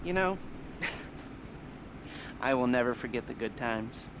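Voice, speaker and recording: Neurotic middle aged heist boss in a video game, slow stammery rambling, shaky voice, noisy background. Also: male voice, mid-range voice, lively intonation. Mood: wistful, rambling. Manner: stammering, halting, trailing off, nervous laughter. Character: neurotic, heavily medicated criminal mastermind, rambling and eccentric. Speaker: heist planner. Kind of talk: acted video game cutscene dialogue.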